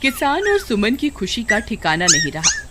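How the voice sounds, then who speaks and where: A woman tells a children's tale in a fairly high voice. It is scripted storyteller narration, recorded with loud noise behind her. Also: huge pitch swings, moderate pace.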